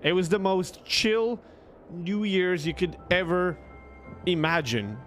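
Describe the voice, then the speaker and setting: Young guy streamer chatting casually, kinda high voice, rambly and halting, music in the background. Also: a bit bright. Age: young adult. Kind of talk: casual livestream storytelling. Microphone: close desk microphone.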